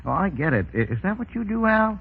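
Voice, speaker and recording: An actor playing a shrewd, forceful undercover lawman in a 1930s radio drama. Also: sits high, sing-song, fast talker, creaky, breathy edge, exaggerated voice. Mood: sly, probing. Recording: muffled 1930s radio recording, loud music.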